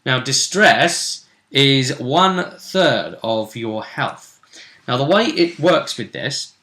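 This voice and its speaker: Bright-voiced man hosting a chatty discussion. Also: medium pitch, expressive, takes it slowly. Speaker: host and game master. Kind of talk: casual sports-pundit style discussion of a role-play game.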